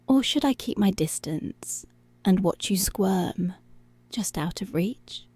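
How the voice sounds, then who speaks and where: Woman acting a slow, breathy, dominant policewoman. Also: mid-range voice, expressive, a little mellow, no room sound. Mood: taunting, seductive. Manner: commanding, seductive, drawn-out taunting, slipping into whispers. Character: dominant, teasing, menacing policewoman toying with her captive. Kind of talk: intimate ASMR roleplay, slow and breathy.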